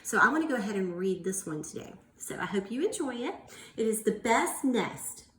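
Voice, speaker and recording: A woman's voice: mid-range voice, sing-song, fairly quick, a bit bright, reverberant, slight background noise. A woman teacher reading aloud to young children, encouraging them with questions and exaggerated enunciation. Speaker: early-years teacher.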